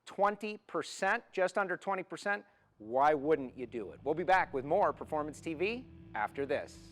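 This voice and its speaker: A man's voice: a little high, expressive, normal speed, fairly bright tone. A man hosting an automotive TV show, presenting and interviewing in an upbeat, enthusiastic way. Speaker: TV show host.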